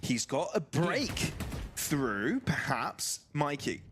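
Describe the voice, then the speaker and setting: Male esports play-by-play caster, conversational with excitable calls, clear music bed behind. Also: fairly high pitch, slow. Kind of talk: live esports commentary.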